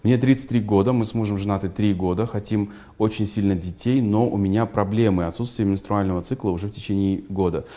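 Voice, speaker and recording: A man's voice: fairly low pitch, fast talker, hardly any pauses, dark tone. A man hosting an interview, giving short murmurs of agreement and reading viewers' questions aloud. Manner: brief listening murmurs, reads questions aloud. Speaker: interview host.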